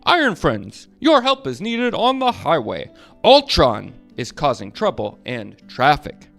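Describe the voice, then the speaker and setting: A man doing a crisp, commanding android. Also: on the high side, wildly swooping pitch, a little slow, a bit bright, faint music behind, exaggerated voice. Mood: urgent. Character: calm android hero, formal and urgent.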